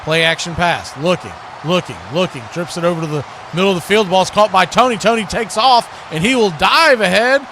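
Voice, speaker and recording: A man's voice: high voice, brisk, bright, forceful tone. An American man calling live football play-by-play in short clipped phrases, repeating words as the action unfolds. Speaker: play-by-play sports commentator. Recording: noisy live stream with background noise.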